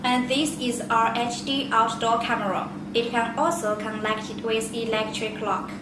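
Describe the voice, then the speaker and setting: A woman giving a step-by-step product demonstration in simple, deliberate non-native phrasing. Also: on the high side, flat delivery. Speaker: product presenter. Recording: echoey room, distant mic, loud noise.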